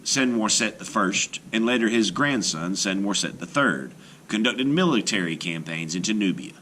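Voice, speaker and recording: A man reading scripted narration like a teacher, measured and explanatory, for young listeners. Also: fairly low pitch, a bit bright, slight background noise. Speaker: storyteller and teacher. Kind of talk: scripted read-aloud narration.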